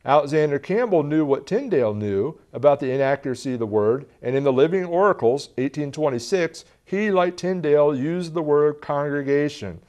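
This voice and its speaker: Earnest preacher at a studio lectern. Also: male voice, a little high, expressive, normal speed, few pauses, darkish tone. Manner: earnest teaching, gestures with both hands, reads passages aloud. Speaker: preacher teaching a Bible lesson. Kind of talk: studio Bible lesson. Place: television studio, standing at a lectern.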